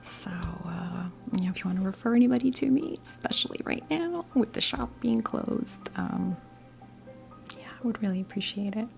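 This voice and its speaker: An American woman in her fifties gives a whispered ASMR tarot reading as a kindly witch. Her soft, breathy whisper often drops into a creaky fry. She is gentle and reassuring, pausing and feeling her way through phrases, with music underneath. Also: medium pitch, lively intonation. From New Jersey, United States. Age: 50s. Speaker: ASMR performer role-playing a tarot reader.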